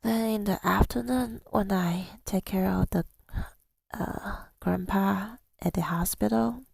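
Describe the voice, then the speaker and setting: A woman telling a personal story in ASMR style, softly and breathily, often near a whisper, with a halting, reflective delivery. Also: mid-range voice, steady tone, creaky, slightly rough, no room sound. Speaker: ASMR creator.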